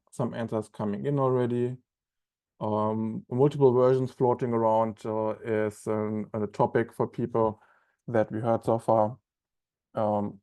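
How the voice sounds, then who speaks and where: A man's voice: medium pitch, mellow, clear, resonant tone. A young man with a German accent, presenting a webinar in a loose, conversational way with many ums and uhs. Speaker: onboarding specialist presenting a webinar.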